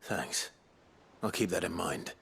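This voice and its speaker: An actor playing a brooding, resolute warrior leader in a fantasy game, husky, terse and earnest. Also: male voice, animated, takes it slowly, a bit bright, slight background noise, heavily acted. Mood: reassuring, calm. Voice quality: husky, gravelly rasp. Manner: low-key, terse, earnest. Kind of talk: scripted fantasy game dialogue.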